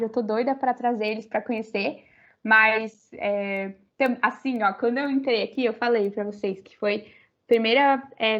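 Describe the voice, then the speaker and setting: A young Brazilian woman with a Brazilian Portuguese accent, a university student chatting as a podcast guest, full of fillers and restarts. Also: a little high. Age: young adult. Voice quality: many fillers, rambling restarts. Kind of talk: casual interview chat. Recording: slightly muffled audio.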